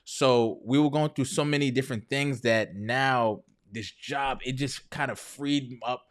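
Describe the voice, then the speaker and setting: A man's voice: mid-range voice, measured pace. A man in his thirties talking candidly and casually to viewers as a video host, often checking in with the listener conversationally. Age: 30s. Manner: conversational, often checks in with "right?" and "you know". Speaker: entrepreneur video host sharing personal story.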